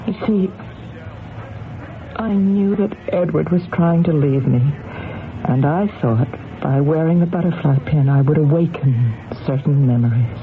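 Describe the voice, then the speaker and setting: A man acts a weary, confessing murderer in radio-drama style. The delivery is very slow, halting and wistful, in a fairly high voice. The old recording is thin and noisy. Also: narrow, tinny audio, close to an everyday voice.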